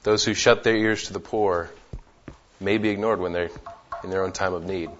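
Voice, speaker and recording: A man's voice: deep voice, fairly even intonation, normal speed, fairly bright tone, slight background noise. Casual man leading a Bible study. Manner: casual, repeats words as he thinks. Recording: dull lo-fi sound.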